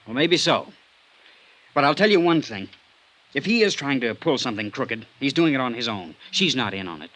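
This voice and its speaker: A man's voice: medium pitch, very animated, moderate pace, a bit bright, rough recording. An actor playing a defensive, lovestruck man, earnest and insistent, in a vintage radio drama. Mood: insistent, devoted. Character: defensive, lovestruck man, hot-headed and loyal. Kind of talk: 1950s radio drama acting.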